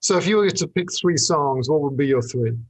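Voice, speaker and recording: A man in his fifties hosting a casual interview, phrasing his questions haltingly and repeating words. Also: on the high side, animated, breathy edge, no echo, low-quality recording. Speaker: podcast host, interviewer.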